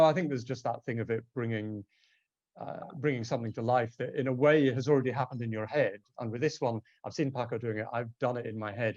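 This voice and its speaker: A man's voice: medium pitch, darkish tone, no echo. Male theatre translator for a Q&A panel: hesitant, casual, keeps rephrasing; dry, lo-fi sound. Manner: hesitant, self-correcting, tentative. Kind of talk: casual post-show panel discussion.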